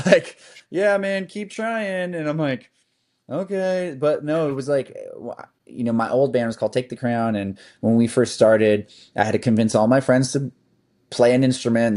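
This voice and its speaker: A man, a band's singer, chatting casually as a podcast guest, rambling along with lots of "like" and "um". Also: medium pitch. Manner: relaxed and rambling, with frequent "like" and "um". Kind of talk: casual interview chat.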